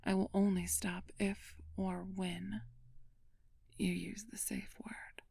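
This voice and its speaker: A woman playing an ancient vampire thief in an ASMR roleplay, flirtatious and imperious, speaking in a breathy, teasing, commanding whisper. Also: medium pitch, flat delivery, darkish tone, dry recording, exaggerated voice. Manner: slow, teasing, commanding, haughty.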